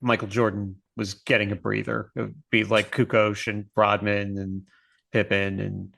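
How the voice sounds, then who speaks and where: A man with a lowish voice and plenty of gravel in it co-hosts a podcast. He talks casually, reacting and chatting with the others. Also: flat delivery, moderate pace, a bit bright. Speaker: podcast co-host.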